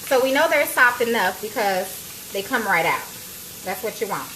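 A playful woman chats with her viewers, calling them y'all as she cooks. She speaks into a phone on a small tripod in her home kitchen. The sizzle of frying grease comes through clearly around her voice. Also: mid-range voice, lively intonation, normal speed, pushed and bright, slight background noise, rough recording. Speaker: cooking show host. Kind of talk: casual livestream cooking chat. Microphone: phone on a tripod, close to arm's length.

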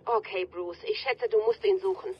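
A woman voicing a sharp, caring tech support ally speaking over a radio link in a game dub. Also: fairly high pitch, sing-song, moderate pace, breathy edge, lo-fi sound, full character voice. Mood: concerned.

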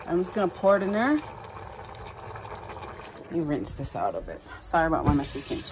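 A woman's voice: low-pitched, big pitch swings, fairly quick, background noise. Chatty woman narrating her home cooking. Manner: chatty, self-narrating, filler-heavy, apologetic. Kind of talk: casual cook-along chat. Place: home kitchen, indoors.

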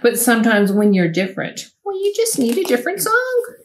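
A woman voicing a kindly, wise little male cricket in a storybook reading, speaking softly and encouragingly. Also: on the high side, wildly swooping pitch, a little fast. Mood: gentle.

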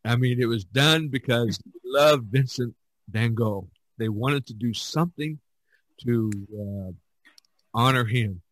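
A man hosts a relaxed podcast chat, his voice sitting at an ordinary middle pitch. He talks haltingly, stopping often on fillers and starting phrases over. The sound is dry and close, with no room around it. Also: no echo. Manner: halting, many fillers and restarts. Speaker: podcast host. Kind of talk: casual conversation.

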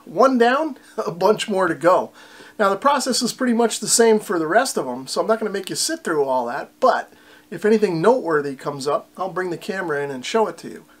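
An American man in his forties with a fairly high voice hosts a hands-on repair tutorial. He explains each step casually and plainly, like a hobbyist showing others the process. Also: lively intonation, fairly quick. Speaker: host of a radio repair channel. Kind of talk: instructional narration of an electronics repair.

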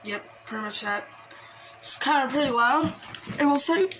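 A woman casually showing off a hobby collection, halting and trailing off, recorded on a handheld phone indoors. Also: high voice. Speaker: video host showing a personal radio collection. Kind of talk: casual hobby show-and-tell. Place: small cluttered room indoors. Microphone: handheld phone camera. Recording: clicky, noisy handheld home recording.

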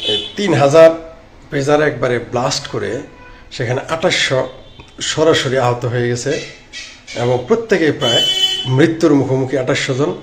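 A man in his late fifties with a Bangladeshi accent, giving informal live commentary and answering comments in a sarcastic, rambling, repetitive way into a phone. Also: mid-range voice. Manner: sarcastic, rambling, repeats phrases. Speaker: live-stream political commentator. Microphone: phone at arm's length. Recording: clearly noisy phone recording.

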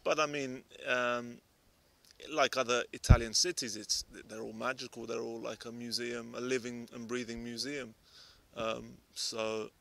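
Gravelly, flat-voiced bright man. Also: medium pitch, a little slow. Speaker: interviewee, tourist. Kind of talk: casual street interview. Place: park outdoors.

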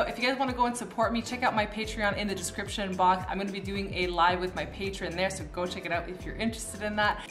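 A woman's voice: medium pitch, fairly bright tone. A woman in her thirties with a Canadian accent, explaining things to camera in a chatty, informal teaching style. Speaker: pilot and video host. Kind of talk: casual educational explainer to camera. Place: small furnished room indoors. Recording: roomy, echoey indoor sound.

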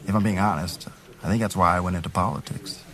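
A man's voice: low voice, fairly even intonation, fast, fairly bright tone. An actor playing a wry, earnest city councilman who is wounded, in an audio drama. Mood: reflective. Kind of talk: full-cast audio drama acting.

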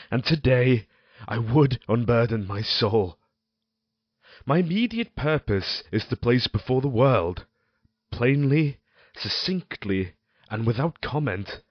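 An actor playing a tormented condemned man confessing in a Gothic audio drama, with theatrical, deliberate, formal delivery. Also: mid-range voice, slightly rough, exaggerated voice. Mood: anguished, intense. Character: tormented condemned man confessing his crimes, intense and melancholy. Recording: dull, roomy amateur recording.

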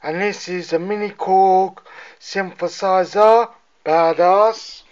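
Male amateur musician for a casual home gear demo, unhurried delivery, recorded on a phone. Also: high-pitched, fairly even intonation, muffled recording. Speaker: amateur musician demonstrating a synthesizer. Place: small room at home.

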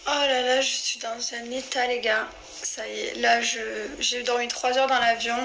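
A woman's voice: on the high side, level tone, normal speed, fairly bright tone, a little hiss or hum, poor audio. A woman, chatting casually and rambling straight to her followers on a phone held at arm's length. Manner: chatty, rambling, addresses viewers directly. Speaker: lifestyle influencer. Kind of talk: casual selfie chat to followers. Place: indoors, small wooden cabin room.

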